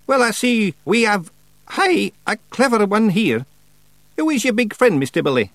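A man's voice: high voice, sing-song, normal speed, no echo, pitched above the performer's own voice, exaggerated voice. A man voicing an ancient, stern and suspicious witch, questioning sharply in an audiobook. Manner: commanding, sharp interrogator. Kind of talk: audiobook character voice.

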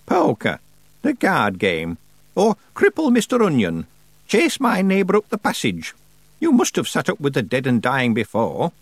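A man voicing an ancient female witch, stern and sly, in a slow, drawn-out, lofty manner for an audiobook. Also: mid-range voice, huge pitch swings, husky, dry recording, voice pushed up, heavily acted. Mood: brisk.

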